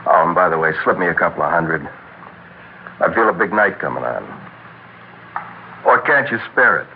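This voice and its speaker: A tinny, faintly hissing old radio broadcast carries a swaggering male crook. His pitch moves around freely as he taunts and gives orders. He is a smooth-talking, menacing bar owner in a 1960s radio drama. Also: expressive, moderate pace. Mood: taunting, demanding.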